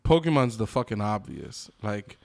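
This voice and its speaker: A man's voice: on the low side, big pitch swings, moderate pace, creaky, darkish tone. A man co-hosting a podcast, chatting casually with enthusiasm, plenty of slang and swearing. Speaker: podcast co-host. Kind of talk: casual group chat about video game movies.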